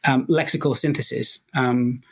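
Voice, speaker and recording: A man's voice: mid-range voice, steady tone, moderate pace. A young man, a Greek native speaker, explaining ideas as a podcast guest, thoughtful and full of fillers and restarts. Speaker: guest researcher and musician. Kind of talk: technical podcast interview.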